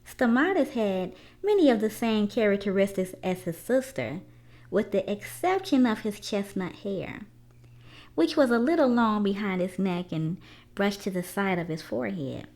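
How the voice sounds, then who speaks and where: A woman reading a children's book aloud in an expressive storytelling style, pausing deliberately between phrases. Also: mid-range voice.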